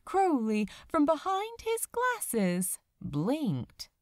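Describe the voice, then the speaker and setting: A woman narrating fan fiction as a dramatic audiobook, with deliberate phrasing. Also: sits high, wildly swooping pitch, no echo, clean studio sound.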